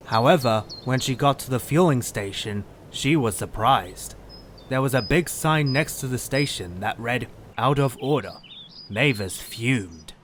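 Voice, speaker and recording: A man's voice: medium pitch, animated, unhurried, slight background noise. A man narrating a scripted children's story as the narrator of an audio drama.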